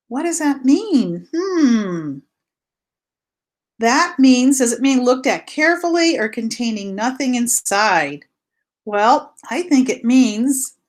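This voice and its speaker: Teacher lady talking to little kids, really slow, highish voice, repeats stuff and asks questions. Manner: asks questions and answers them herself; simple, repetitive explaining to children. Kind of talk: read-aloud lesson for young children.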